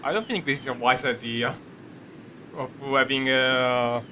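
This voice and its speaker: Very bright-voiced man doing gaming commentary. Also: mid-range voice, steady tone, slight background noise. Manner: halting, rambling, jokey. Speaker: gaming video host. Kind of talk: casual playthrough commentary. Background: game music.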